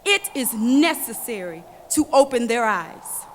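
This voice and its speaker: A woman playing an impassioned, defiant activist giving a deliberate, declamatory political address on stage. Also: a little high, sing-song, slight background noise. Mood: grave, accusing. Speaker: political speaker. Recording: long hall reverb.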